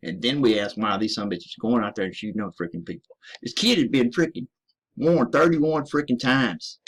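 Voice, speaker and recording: A man doing an American military veteran on a blunt, cursing rant, hammering each point home and talking straight to his listeners. His voice sits at an ordinary middle pitch for a man. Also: moderate pace, slightly rough. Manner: profane, emphatic, addresses listeners as ladies and gentlemen. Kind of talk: ranting monologue.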